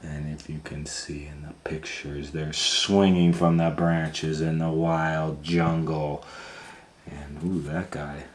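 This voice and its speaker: A man reading a picture book aloud to children in a theatrical way, swinging between hushed tones and loud exclamations. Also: very deep voice, little pitch movement, takes it slowly. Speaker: storytime reader and educator. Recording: roomy echo, faint background noise.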